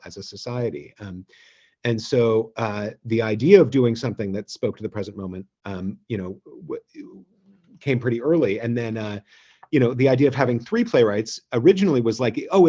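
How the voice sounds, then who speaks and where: A man hosting an online theatre gathering, speaking informally and haltingly, pausing often as he thinks aloud. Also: medium pitch, mellow, slightly rough. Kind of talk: informal speech and toast. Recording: dull, lo-fi video call audio.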